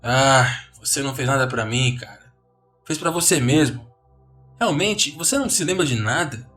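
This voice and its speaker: A man's voice: mid-range voice, expressive, normal speed, a bit bright, recorded from a distance, faint music behind, lightly acted. A man voicing a contemptuous, jaded man in his thirties who mocks newcomers with weary scorn. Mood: sneering contempt. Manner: sneering, mocking, world-weary.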